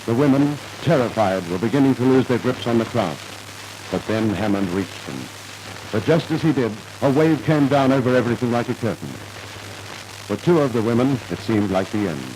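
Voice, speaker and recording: A man's voice: mid-range voice, animated, moderate pace, a little mellow, a little hiss or hum, rough recording. A man narrating a scripted 1950s radio drama in a formal, dramatic storytelling manner, on an old radio recording.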